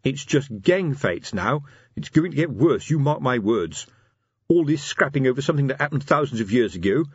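Fred Colon, a man voicing a grumbling husky sergeant. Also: medium pitch, expressive, moderate pace, no room sound, highs cut off, pitched above the performer's own voice. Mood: gloomy. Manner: grumbling, ponderous.

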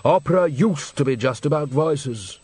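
A man reading aloud and voicing an older male opera director who speaks slowly and wistfully. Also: on the high side, lively intonation, a little mellow, husky, no room sound. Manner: slow, wistful, nostalgic.

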